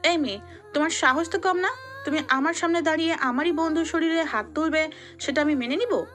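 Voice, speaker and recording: Tough senior girl, firm and commanding, high voice, played by a woman. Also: brisk, a bit bright. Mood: stern. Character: confident senior female student, protective and tough.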